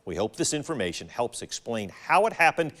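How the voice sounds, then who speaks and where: A man's voice: mid-range voice, sing-song, fairly quick, fairly bright tone. A man with an American accent anchoring a local TV newscast, reading a script formally. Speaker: TV news anchor. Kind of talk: scripted local news broadcast delivery.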